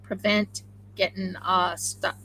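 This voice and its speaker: A woman with an Oklahoma accent chatting excitably and rambling on a home craft live stream while she sews. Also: medium pitch, slow pace, a little hiss or hum, poor audio. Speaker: craft channel host. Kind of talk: casual live-stream chat while sewing.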